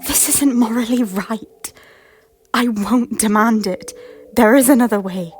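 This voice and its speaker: A woman playing a remorseful former queen who refuses a ghost's orders, often hushed and whispering. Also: a little high, measured pace, close to an everyday voice. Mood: defiant. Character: guilt-ridden former Scottish queen, defiant yet remorseful. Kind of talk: amateur audio drama acting. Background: faint music.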